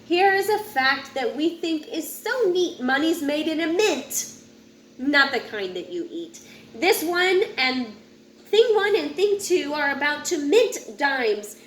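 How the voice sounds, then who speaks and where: A woman reading a rhyming children's picture book aloud in an expressive, sing-song way, occasionally stumbling over a word. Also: high voice, slow pace. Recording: roomy echo with faint background noise.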